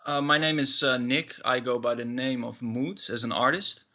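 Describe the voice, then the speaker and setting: A man in his thirties with a Dutch accent and a bright, forceful tone speaks haltingly, filling pauses with fillers. His voice comes through dull, muffled call audio. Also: medium pitch, faint music behind. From Netherlands. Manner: hesitant, many fillers and restarts. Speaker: musician guest. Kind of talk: casual interview answers.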